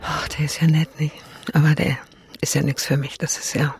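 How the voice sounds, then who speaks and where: An actress playing a self-doubting older woman musing in a hushed way, in a naturalistic radio drama. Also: low-pitched, level tone, moderate pace, music in the background.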